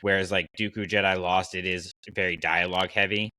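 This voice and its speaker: Guy podcast host, lowish voice but bright, dry close sound, chatty n opinionated. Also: little pitch movement, fairly quick, no echo. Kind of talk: casual podcast chat about fiction.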